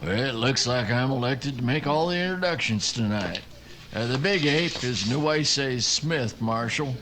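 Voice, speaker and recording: A man acts an easygoing cowboy from Texas. He talks slowly at middle pitch, folksy and dryly sardonic, in western radio-drama style. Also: lively intonation, background noise, lightly acted. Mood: dry amusement. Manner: folksy, dry.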